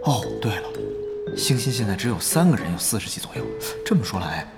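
An actor playing an exasperated, excitable young male guild leader in an audio drama, grumbling and easily flustered. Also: fairly low pitch, fairly quick. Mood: brooding. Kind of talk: audio drama acting, dialogue and inner monologue. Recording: music bed under dialogue.

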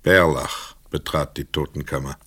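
A man narrating a literary crime radio play in a measured, sober, scripted delivery. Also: very deep voice, fairly even intonation, bright, forceful tone, no room sound.

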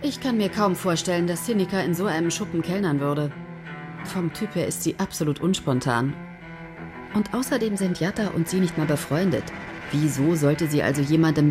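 An actress playing a commanding, cutting female head of a writing team in a radio play. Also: low-pitched. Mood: scolding. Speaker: head writer of a soap team.

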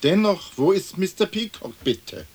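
An actor playing a dignified, earnest lord in a radio play, formal and solemn, with hiss on the old recording. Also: male voice, sits high, animated, measured pace, breathy edge, exaggerated voice. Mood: wistful. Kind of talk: stereo radio play acting.

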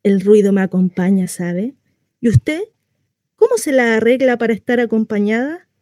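A woman plays a naive, lonely housewife in a radio monologue. Her voice is warm and mellow, in a very dry close recording. She rambles confidingly, insisting cheerfully on her good life and turning coy over delicate matters. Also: fairly high pitch, moderate pace, no room sound, lightly acted. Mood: chatty, boastful. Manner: gossipy, rambling, confiding, coyly hesitant. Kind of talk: theatrical monologue read for radio.

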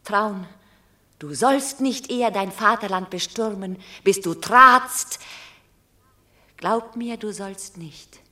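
A woman's voice: mid-range voice, takes it slowly, pushed and bright. An actress playing a noble Roman mother in a classical verse radio drama, grave, formal and imploring but unyielding. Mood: urgent resolve.

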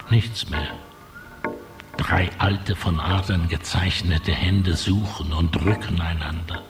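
A grave poetic narrator speaks very slowly in a low voice over clear music. His delivery is deliberate, suited to a ghostly literary radio drama. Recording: music playing behind the voice.

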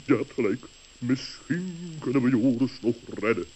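A man's voice: mid-range voice, animated, normal speed, darkish tone, a little hiss or hum, close to an everyday voice. A man voicing a pompous, wordy owl in an old children's radio play. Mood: solemn. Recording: dull muffled old radio recording.

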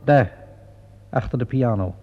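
An actor playing a calm, probing police inspector in a Dutch radio play, questioning politely and methodically. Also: male voice, medium pitch, unhurried, faint music behind, close to an everyday voice. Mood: controlled, probing. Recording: dull, lo-fi old radio recording.

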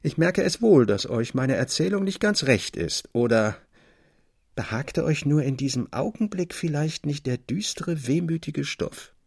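A man's voice: fairly high pitch, animated, a little slow, gravelly, a little mellow, breathy edge, no room sound, clean studio sound, pitched above the performer's own voice, full character voice. A man playing a genial storyteller who earnestly defends his gloomy tale among friends in a literary reading. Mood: probing, slightly wounded. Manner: earnest, literary, smiling protest.

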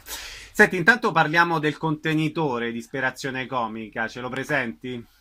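A man's voice: mid-range voice, moderate pace, sharp tone. A man hosting a radio interview, introducing his guest and asking questions. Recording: faint background noise.

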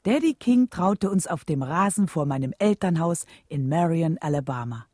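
A woman playing a reflective, devoted wife who narrates her memories in a German radio drama, with a husky, mellow, measured delivery. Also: deep voice, lively intonation, dry recording.

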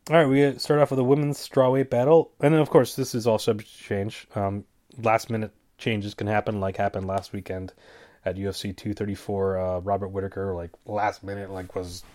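A man's voice: on the low side, darkish tone. A man hosting a casual talk show, rambling with many fillers and wry, offhand asides. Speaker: podcast host. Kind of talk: casual fight-prediction chat.

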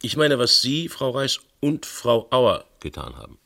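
An actor playing a methodical police investigator in a radio play, asking clipped, probing questions with polite insistence. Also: male voice, medium pitch, huge pitch swings, a little slow, creaky, sharp tone, echoey room, exaggerated voice. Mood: polite, insistent. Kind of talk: German radio play acting.

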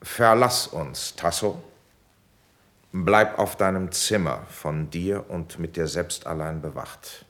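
An actor playing a measured, lenient prince who passes judgment with formal authority, in a classical verse radio drama. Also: male voice, deep voice, fairly bright tone. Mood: commanding. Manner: formal, authoritative, deliberate phrasing.

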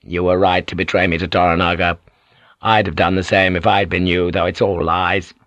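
Audiobook samurai lord, older man as acted by a male reader: low, fierce, scheming, used to being obeyed. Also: level tone, normal speed, a bit bright, no echo, lo-fi sound. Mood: proud, urgent. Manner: imperious, emphatic, giving orders. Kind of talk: audiobook character acting.

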